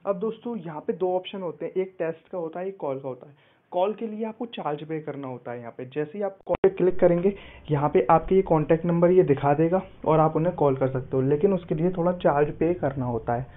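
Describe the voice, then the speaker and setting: A man's voice: fairly high pitch, brisk, narrow, tinny audio, slight background noise. A man giving an app tutorial voice-over as a YouTube host, often addressing his viewers as friends.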